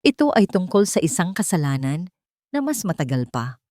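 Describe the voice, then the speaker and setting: A woman's voice: lowish voice, sing-song, dark tone, dry recording, clean studio sound. Woman voicing a coldly menacing, vengeful rich father. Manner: measured, grave, accusing. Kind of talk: Tagalog melodrama story dialogue.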